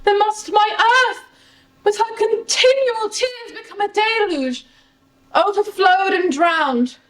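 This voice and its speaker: A woman's voice: extremely high pitch, slow pace, a bit bright, heavily acted. A woman performing an anguished, grief-stricken father in an impassioned, declamatory Shakespearean monologue in an echoey room. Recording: echoey room, distant microphone.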